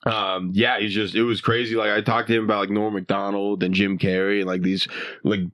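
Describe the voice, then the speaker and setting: A young man from the United States tells a loose, rambling story as a guest comic, his speech full of "like" and "dude". His voice sits fairly low. The talk is casual and unscripted. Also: level tone, brisk, fairly bright tone, dry recording, clean studio sound. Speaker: guest comedian. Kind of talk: casual podcast chat.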